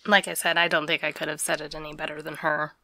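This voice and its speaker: A woman's voice: low voice, level tone, fast talker, pushed and bright, no room sound. A woman hosting a podcast, casually recapping the news in plain, conversational terms. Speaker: podcast host.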